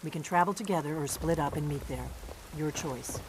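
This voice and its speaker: A woman voicing a secretive, tough game character who gives brisk, commanding orders and urges haste. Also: sits low, background noise, lightly acted. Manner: brisk, commanding, matter-of-fact. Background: loud game music.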